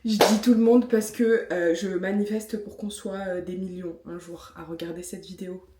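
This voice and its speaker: A woman's voice: medium pitch, fairly even intonation, normal speed, very noisy. A woman in her thirties from France, talking chattily to camera with frequent fillers and self-mocking asides while reviewing skincare. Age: 30s. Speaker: vlogger. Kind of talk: casual vlog talk with product review. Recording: echoey room.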